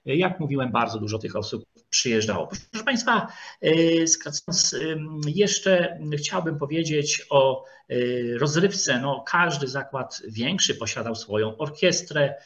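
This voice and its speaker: A man giving an online lecture with slides, speaking haltingly with frequent drawn-out filler sounds. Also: a little high, expressive, a bit bright. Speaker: lecturer, historian. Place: online video call. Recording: dull, lo-fi video call audio.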